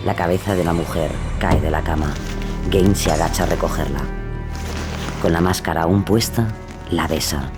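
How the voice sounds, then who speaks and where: A man narrates true crime from a script in a very low voice. His delivery is measured and grave, and music plays behind him.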